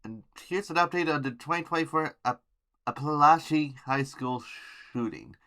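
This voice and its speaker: A man hosting an amateur news podcast, reading headlines haltingly with informal asides and stumbles over words and names. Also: fairly high pitch, expressive, bright, forceful tone.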